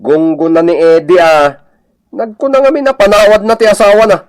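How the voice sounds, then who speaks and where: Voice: medium pitch, fairly even intonation, brisk, close to an everyday voice. A performer with an Ilocano accent playing a jovial, teasing village busybody who chuckles while talking in a radio comedy drama. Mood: amused. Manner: chuckles through the talk.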